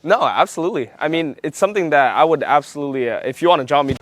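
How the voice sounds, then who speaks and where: A man's voice: on the high side, animated, rapid-fire, no room sound. Man, chatty real estate vlogger, upbeat. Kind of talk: casual on-location vlog. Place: house being shown, indoors.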